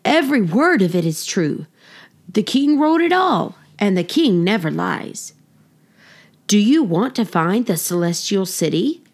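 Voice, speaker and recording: Woman reading a solemn, reassuring evangelist guide, slow. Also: mid-range voice, big pitch swings. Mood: assured, guiding.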